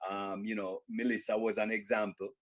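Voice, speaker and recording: A middle-aged man with a Jamaican accent, answering interview questions in a measured, reflective way, often pausing with fillers and restarts. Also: lowish voice, flat delivery. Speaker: interview guest, author.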